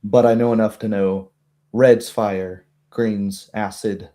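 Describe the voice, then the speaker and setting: A dignified noblewoman, as a male game master acts her out. She speaks in a low, very mellow register, formal but hesitant, for a tabletop roleplay. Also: fairly even intonation, slow. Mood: measured, reserved. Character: noble baroness, woman, measured, dignified, reluctant adviser.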